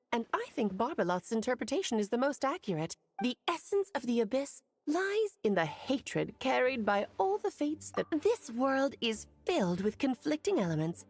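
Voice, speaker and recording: A grand and playful witch, refined and fond of lecturing with a teasing edge. Her voice sits a little high and rises and falls with flair. Soft game music drifts behind her. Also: no echo. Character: grand, playful female witch, worldly and theatrical. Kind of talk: game voice acting.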